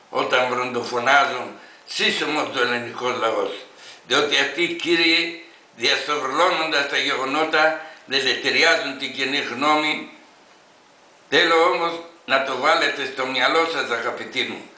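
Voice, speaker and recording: Man in his 80s, piercing bright voice, emphatic oratory, reading a letter aloud, echoey room. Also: mid-range voice. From Greece.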